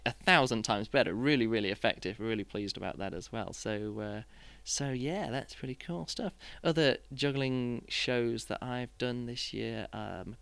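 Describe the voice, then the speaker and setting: Guy doing a casual podcast, clear smooth voice, dry close sound, rambles and restarts a lot. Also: mid-range voice, a bit bright, no room sound. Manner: rambling, hesitant, often restarting sentences. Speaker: podcast host and juggling performer. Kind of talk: casual podcast chat, reviewing his year.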